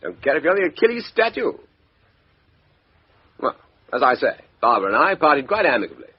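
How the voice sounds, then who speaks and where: An actor playing a blustering, evasive upper-class English major in a radio mystery, clipped and indignant. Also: male voice, a little high, sing-song, fairly quick, slightly rough. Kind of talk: radio mystery drama acting. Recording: dull, muffled radio drama recording.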